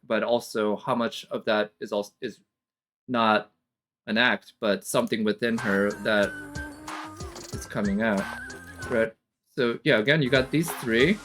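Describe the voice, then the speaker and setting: A woman streamer giving casual reaction commentary, speculating and thinking aloud as the thoughts come. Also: very deep voice. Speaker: streamer reacting to a game trailer. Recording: music behind the voice. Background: game trailer music.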